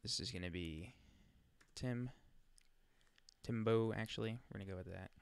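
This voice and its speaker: A man with a clear, fairly low voice guides listeners through software steps in a casual, chatty way. He thinks aloud as he demonstrates. The sound is close and dry, as from a USB microphone right by him. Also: normal speed, no echo. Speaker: tutorial presenter. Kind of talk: casual screen-recorded software walkthrough.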